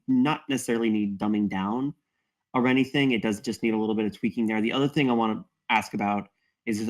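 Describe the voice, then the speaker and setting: A man giving wordy, measured feedback in a casual group discussion. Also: lowish voice. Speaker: table read participant giving feedback.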